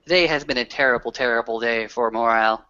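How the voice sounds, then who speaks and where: A man's voice: medium pitch, quick pace, sharp tone, clean tone, lo-fi sound, voice pushed down, lightly acted. A man playing an earnest, grandiloquent werewolf storyteller with a formal, oratorical delivery in a tabletop roleplay. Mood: earnest, rallying. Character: grandiloquent male werewolf storyteller, earnest, rallying his pack.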